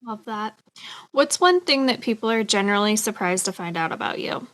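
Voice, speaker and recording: A woman's voice: mid-range voice, animated, normal speed, fairly bright tone. A woman hosting an author interview, giving short approving replies and asking questions. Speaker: interviewer, host.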